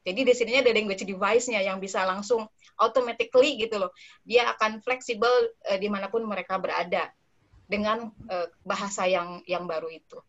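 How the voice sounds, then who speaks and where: A woman's voice: mid-range voice, brisk. Chatty woman on an online call. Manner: relaxed, chatty, many fillers and tag words. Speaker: invited speaker, dissertation researcher. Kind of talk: casual online discussion. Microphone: online call audio. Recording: slightly dull online call audio.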